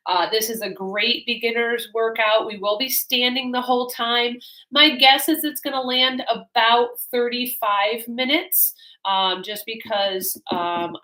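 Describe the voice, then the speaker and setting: Woman, fitness instructor, bright voice, slightly congested, friendly, rambling with fillers. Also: mid-range voice, low-quality recording. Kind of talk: chatty class introduction.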